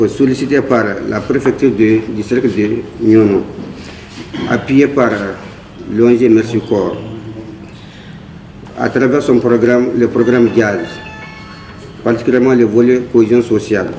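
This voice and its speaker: A man delivers a formal speech in a soft-edged, dark voice. His phrasing is measured and halting. He sounds away from the microphone in a room with plenty of echo. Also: medium pitch. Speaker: NGO representative. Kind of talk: formal opening speech at a community meeting. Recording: echoey room, distant mic, faint noise.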